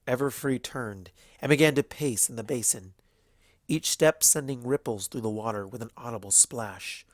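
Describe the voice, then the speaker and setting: A man's voice: medium pitch, moderate pace, no echo. Man narrating a story, dry sound. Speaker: audiobook narrator.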